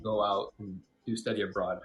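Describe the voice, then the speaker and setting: A man in his twenties from Hawaii, a guest chatting casually in a livestream interview, relaxed with many fillers. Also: on the low side, moderate pace, dull audio, faint music behind. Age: 20s.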